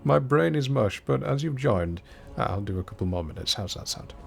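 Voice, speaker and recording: A man's voice: medium pitch, lively intonation, moderate pace, gravelly, faint music behind. A man streaming a game from home, chatting casually and poking fun at himself while answering viewers. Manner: chatty and self-deprecating, answers viewers' chat aloud. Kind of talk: casual live-stream chat while gaming. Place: home, not soundproofed.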